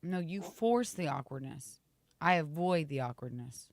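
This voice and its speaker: A raspy-voiced woman with a Midwestern American accent, co-hosting a casual morning show over a video call and teasing her partner. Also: deep voice, unhurried, no room sound. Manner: teasing, chatty, animated with guests. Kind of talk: casual chat.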